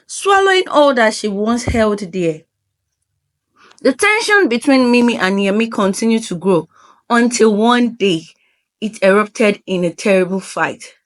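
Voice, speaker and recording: A woman narrating a scripted folktale in a solemn, dramatic storyteller's manner. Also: mid-range voice.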